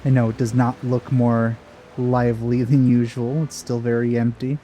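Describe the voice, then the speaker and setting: A man's voice: medium pitch, level tone, moderate pace, dark tone, a little hiss or hum. A man giving casual let's play commentary in a dry, sceptical manner. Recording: game music behind the voice.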